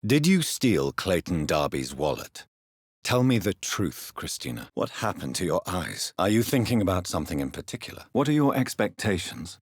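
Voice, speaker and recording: An actor playing a grave, refined British doctor turned vampire in a video game, speaking formally with measured, precise diction. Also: male voice, sits low, very animated, husky, no echo, studio quality, heavily acted. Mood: formal, questioning. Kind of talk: video game character dialogue.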